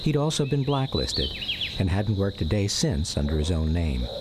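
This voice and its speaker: An actor playing a grown son recalling his childhood, narrating a radio drama in a measured, reflective way. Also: sits low, very animated. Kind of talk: scripted first-person radio drama narration. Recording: noisy outdoor ambience with insects behind.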